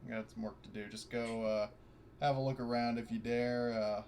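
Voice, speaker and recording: A man's voice: mid-range voice, little pitch movement, normal speed, a little mellow, slight background noise. A man playing a tabletop game, chatting casually in a hesitant, fumbling and sweary way. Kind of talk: casual chat.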